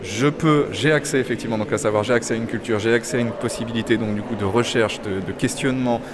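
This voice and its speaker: A man's voice: medium pitch, fast talker. A man on the street explaining his view at length in a reflective way. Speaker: passer-by. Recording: urban traffic noise.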